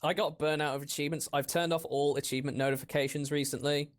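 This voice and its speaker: Higher-voiced man in blunt casual chat. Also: steady tone, fast. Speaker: podcast panelist.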